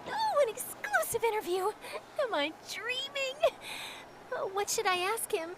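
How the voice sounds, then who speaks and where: Very high, bubbly young female reporter. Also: sing-song, a little slow, background noise, heavily acted. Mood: thrilled. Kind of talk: video game voice acting.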